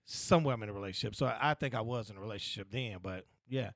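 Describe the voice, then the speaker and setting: Middle-aged male co-host, rambling and halting, dry close sound. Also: on the low side, gravelly, fairly bright tone, dry recording. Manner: halting, rambling storyteller with many restarts. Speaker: podcast co-host. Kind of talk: casual crude group chat.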